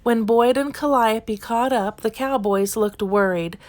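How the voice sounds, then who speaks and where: A woman reading a children's storybook aloud in an expressive, deliberate storytelling manner. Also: fairly high pitch. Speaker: children's book reader. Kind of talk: expressive scripted storybook narration.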